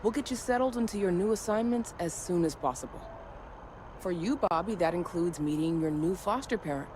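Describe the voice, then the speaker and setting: A woman's voice: lowish voice, animated, a little fast, noisy background, close to an everyday voice. A woman playing a reassuring facility official in a scripted video game scene. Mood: assured. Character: official settling new arrivals at research facility, reassuring.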